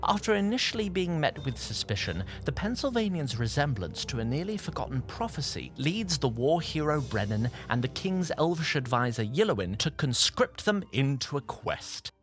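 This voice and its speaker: A man's voice: a little high, very animated, moderate pace, few pauses. A man narrating a scripted fantasy recap with storybook formality and wry asides.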